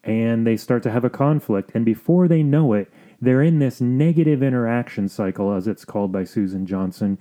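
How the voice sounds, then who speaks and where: A man hosting an advice podcast, explaining things in a measured, sometimes halting way. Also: mid-range voice, dark tone. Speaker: podcast host.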